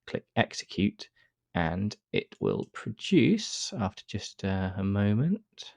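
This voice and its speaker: Deep gravelly man with a stuffy cold. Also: takes it slowly, no echo. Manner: relaxed step-by-step explaining with many uh fillers. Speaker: astrophotography tutor. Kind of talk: screen-recorded software tutorial.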